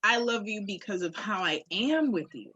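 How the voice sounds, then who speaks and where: A woman with a husky, raspy voice, recorded close and dry. She speaks in a candid, reflective way, stressing her ideas and dropping in casual swearing. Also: medium pitch, expressive, normal speed, bright, forceful tone, no echo, dull audio. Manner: reflective, emphatic, profane.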